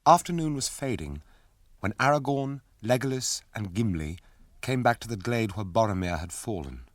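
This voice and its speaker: An actor narrating an epic radio drama as a grave storyteller. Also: male voice, fairly low pitch, animated, moderate pace, no room sound, natural voice.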